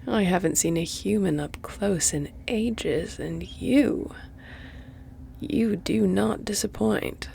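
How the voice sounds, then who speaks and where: A woman acts out a seductive female vampire, haughty and predatory, for an intimate audio roleplay. She draws her words out very slowly, with a gravelly creak, and often slips into a teasing whisper. A faint noise sits behind the voice. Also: medium pitch, mellow. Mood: seductive, menacing. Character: flirtatious predatory female vampire, lady of an estate.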